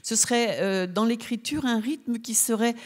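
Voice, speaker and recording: A woman's voice: fairly high pitch, normal speed. A woman hosting an informal literary interview, phrasing her questions tentatively as she thinks aloud.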